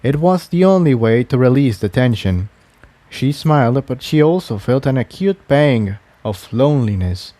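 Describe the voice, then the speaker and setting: A man's voice: mid-range voice, animated, moderate pace, dark tone, no room sound. A man reading a scripted adventure story aloud as its narrator. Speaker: fan fiction narrator.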